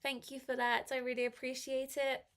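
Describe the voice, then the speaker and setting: High-voiced woman teacher with a cold. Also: completely flat delivery, normal speed, fairly bright tone. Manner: encouraging, praising learners. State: slight head cold affecting the voice. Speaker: English teacher hosting a live class. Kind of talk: live online language lesson, reading exercises aloud and chatting to learners.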